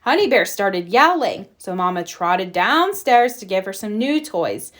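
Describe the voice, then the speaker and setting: A woman's voice: mid-range voice, big pitch swings, unhurried, fairly bright tone. A woman narrating a children's picture book as a storyteller reading aloud. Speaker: storybook reader.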